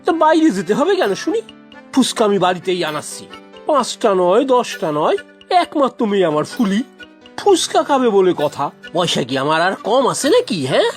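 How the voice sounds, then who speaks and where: A boastful, food-loving cartoon father acted by a woman blusters and exclaims his way along. The pitch swoops wildly from low to high, and music plays underneath. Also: sing-song, normal speed, lightly acted. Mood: boastful, indulgent. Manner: blustering, exclaiming, tacks on emphatic tag words. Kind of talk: exaggerated cartoon voice acting.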